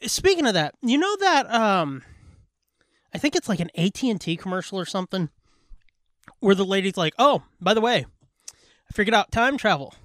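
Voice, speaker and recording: Animated, crude-joking male podcast co-host. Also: high-pitched, moderate pace, long pauses, no echo, clean studio sound. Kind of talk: casual comic banter.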